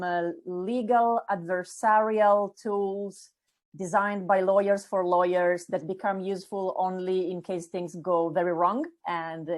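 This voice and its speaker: A middle-aged woman from Italy with an Italian accent, introducing her course to lawyers in a chatty, enthusiastic way with many fillers. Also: on the low side. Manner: lots of fillers and restarts, enthusiastic. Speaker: course instructor. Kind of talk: casual spoken course introduction.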